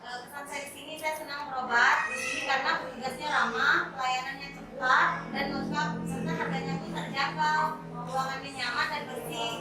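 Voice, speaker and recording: High-voiced woman in her thirties, echoey. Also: steady tone, normal speed. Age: 30s. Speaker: patient giving a testimonial. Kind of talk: recited testimonial. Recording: echoey room, distant mic, loud music. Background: loud music.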